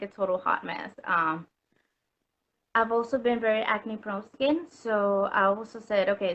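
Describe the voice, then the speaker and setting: A woman's voice: mid-range voice, a bit bright, lo-fi sound. A woman from Puerto Rico chatting as an interview guest, full of ums and likes, laughing easily. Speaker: customer guest. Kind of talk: casual interview chat.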